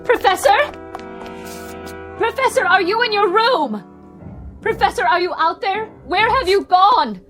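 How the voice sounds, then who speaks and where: Anxious, very high-pitched woman. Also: lively intonation, unhurried, long pauses, fairly bright tone, soft background music, full character voice. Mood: worried. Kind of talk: radio drama acting.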